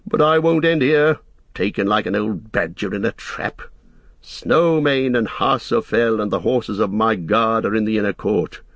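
A man's voice: fairly low pitch, a little slow, a bit bright, no echo. A man reading the part of a proud, weary old king who speaks with grave, stately resolve. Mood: defiant.

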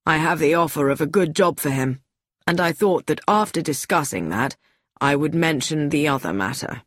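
A woman reading aloud, voicing a stern middle-aged man in charge of a household who speaks gravely and formally. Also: low-pitched, expressive, normal speed, no echo, studio quality, voice pushed down.

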